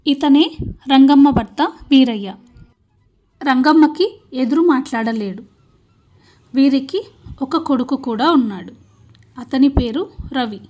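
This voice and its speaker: A woman's voice: high-pitched, slow, long pauses, warm tone. A woman storyteller reading scripted narration for a cartoon moral tale.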